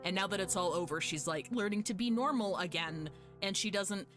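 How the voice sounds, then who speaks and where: A woman's voice: mid-range voice, brisk. A woman livestreaming a game, giving casual, rambling, emphatic commentary and often repeating her phrases. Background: game music.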